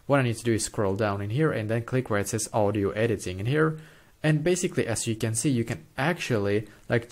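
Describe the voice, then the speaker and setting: A man in his twenties hosting a step-by-step tech tutorial in a repetitive, explanatory way, speaking close to a desktop microphone indoors. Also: fairly low pitch. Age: 20s. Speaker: tutorial host. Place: small plain room indoors. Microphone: desktop microphone close in front.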